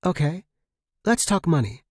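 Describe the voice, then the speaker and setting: A man's voice: a little high, sing-song, measured pace, slightly rough, dry recording, studio quality, voice pushed up, full character voice. A man voicing a scheming, coaxing female space pirate in an audio story. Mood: coaxing, bargaining.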